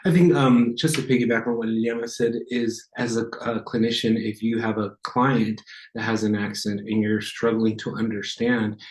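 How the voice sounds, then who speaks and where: Measured man therapist in a roomy space. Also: fairly low pitch, fairly even intonation, darkish tone. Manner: measured, affirming. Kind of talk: panel discussion. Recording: roomy echo.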